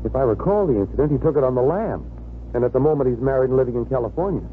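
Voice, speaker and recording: A man's voice: mid-range voice, animated, very fast, lightly acted. An American man playing a confident, wry, teasing young fiancé in 1950s radio drama style. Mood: wry, assured. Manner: wry, bantering. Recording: muffled vintage radio recording, faint hiss.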